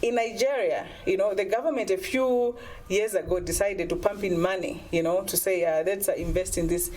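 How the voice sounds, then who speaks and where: A woman's voice: medium pitch, normal speed, a little mellow, low-quality recording. A middle-aged woman from Zambia, a former singer, speaking earnestly and persuasively in an interview, often saying "you know". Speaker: guest, former singer and music advocate. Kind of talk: interview answers.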